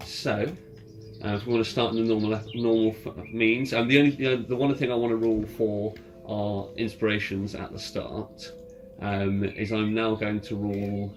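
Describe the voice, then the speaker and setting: A low-voiced man runs a tabletop game, explaining rules casually and thinking them through as he goes. Prominent ambient music plays behind his voice. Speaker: game master. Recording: loud background music.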